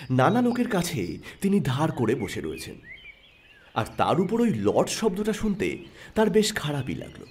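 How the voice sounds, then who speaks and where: A man narrating an audio story in an expressive, dramatic storytelling style. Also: on the high side, moderate pace, a little hiss or hum. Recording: narration over background music.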